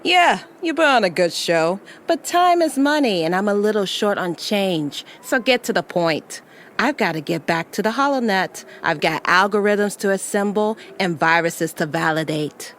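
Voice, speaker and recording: Brief: cocky hacker woman played by an actress, impatient, flippant, very animated pitch, ambient noise behind. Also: moderate pace, natural voice. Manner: flippant, slangy. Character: brash, impatient female hacker thief.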